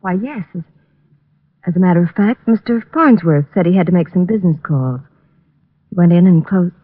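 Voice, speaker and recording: A woman's voice: on the low side, lively intonation, moderate pace, husky. An actress playing an indignant, distressed young woman in 1940s radio drama style. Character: indignant, distressed young female murder suspect. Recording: thin, phone-like old radio recording.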